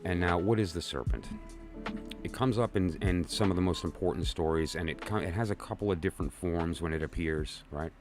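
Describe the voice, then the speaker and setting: A man's voice: low-pitched, brisk, a little mellow, faint music behind. A man from Brooklyn hosting a tarot channel livestream, talking casually and rambling with fillers and restarts.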